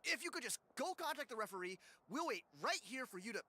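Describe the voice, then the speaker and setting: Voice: medium pitch, sing-song, fast, pushed and bright. An actor voicing an aggrieved, cocky young warrior in an anime-style video game. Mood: indignant.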